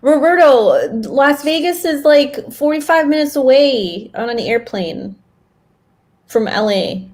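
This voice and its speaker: A woman co-hosting a podcast in casual, playful group chat, animated and quick to laugh. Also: high-pitched. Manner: animated banter, laughs often. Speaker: podcast co-host.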